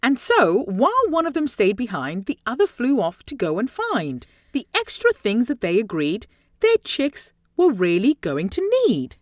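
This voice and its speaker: A woman reading a rhyming children's story aloud in an expressive, theatrical way with deliberate phrasing and dramatic emphasis. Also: a little high, no echo. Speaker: storybook reader.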